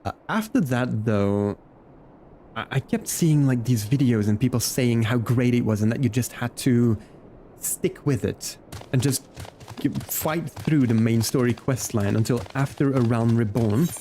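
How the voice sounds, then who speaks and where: A man chatting casually as a game streamer, informal and halting as he thinks aloud. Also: medium pitch, dark tone, slight background noise. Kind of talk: casual livestream chat during gameplay. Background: game music.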